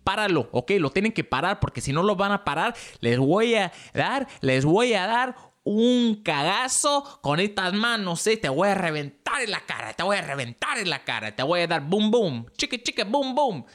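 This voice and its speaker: A man's voice: high voice, big pitch swings, a little fast, hardly any pauses, a bit bright, pitched above the performer's own voice. A man voicing a macho male superhero who speaks Spanish, theatrical like a movie trailer announcer. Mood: threatening.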